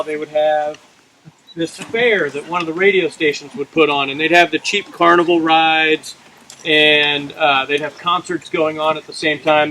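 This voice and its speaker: A middle-aged man telling an informal comic story to a group, acting out the dialogue in an animated way. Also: on the high side. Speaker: campfire storyteller. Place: outdoors among a gathered crowd. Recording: noisy outdoor recording with audience chatter. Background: audience sounds, outdoor ambience.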